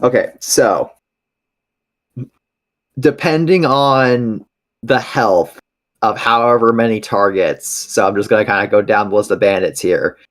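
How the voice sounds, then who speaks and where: A man with a deep-pitched voice takes part in a tabletop game. He explains rules casually, pausing and hesitating as he thinks out loud.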